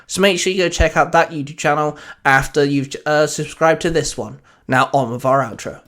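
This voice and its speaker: Male YouTube story-channel host, pitch a little above average, presenting an outro. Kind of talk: YouTube outro address to listeners.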